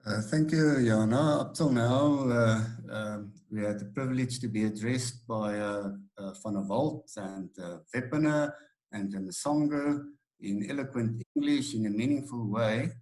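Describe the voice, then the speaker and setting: Friendly man, halting start, Afrikaans speaker. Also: medium pitch, darkish tone, low-quality recording. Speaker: minister and panellist. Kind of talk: webinar talk. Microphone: video call.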